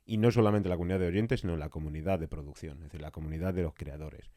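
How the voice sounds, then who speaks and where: A man's voice: low-pitched, quick pace, warm tone, no room sound, clean studio sound. A man with an accent from Spain talking casually and emphatically in a podcast conversation, repeating phrases for stress. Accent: Spanish (Spain). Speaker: podcast interviewer.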